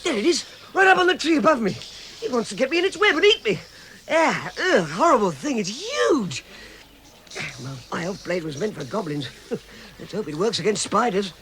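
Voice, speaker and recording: A man's voice: high voice, very animated, moderate pace. A man playing a timid but plucky hobbit adventurer in a vintage British radio drama, stammering and exclaiming to himself. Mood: frightened. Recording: vintage radio recording with loud background noise.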